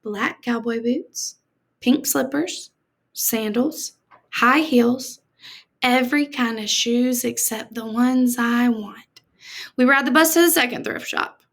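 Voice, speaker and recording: A woman teacher reading a storybook aloud to children in an expressive, deliberate, explanatory manner. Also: fairly high pitch, vocal fry.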